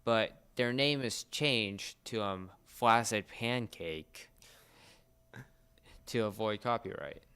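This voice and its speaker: Halting, clear-voiced man in fan chat. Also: on the low side, a bit bright. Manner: halting, searching for words.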